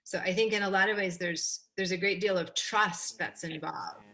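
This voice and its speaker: A woman's voice: lowish voice, steady tone, normal speed, noisy background. A woman curator reflecting in an interview, hesitant and searching, with many fillers.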